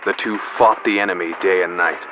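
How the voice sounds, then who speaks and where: A young man playing a grave, ominous narrator of a 1940s radio play, portentous and measured. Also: low-pitched, steady tone, lightly acted. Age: young adult. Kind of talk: dramatic scripted narration. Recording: dull radio-style audio, faint noise.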